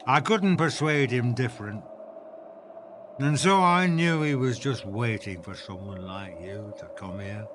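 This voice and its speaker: A man's voice: mid-range voice, unhurried, noisy background, lightly acted. An actor playing a weary, wry undead man in a radio play, talking with dry amusement. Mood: menacing calm. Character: weary, wry undead man, blood-drinker who spares his visitor.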